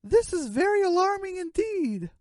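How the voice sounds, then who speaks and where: A woman's voice: very high-pitched, very animated, moderate pace, darkish tone, no room sound, studio quality, voice pushed up. A woman voicing a female chicken radio news anchor with a grave, theatrical delivery, in a mock broadcast. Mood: alarmed.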